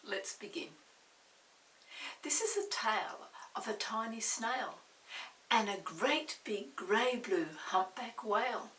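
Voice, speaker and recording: An older woman reading a picture book aloud for small children, pausing to describe pictures and ask questions. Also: on the high side, expressive, fairly bright tone. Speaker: storyteller. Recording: roomy, distant mic, faint hiss.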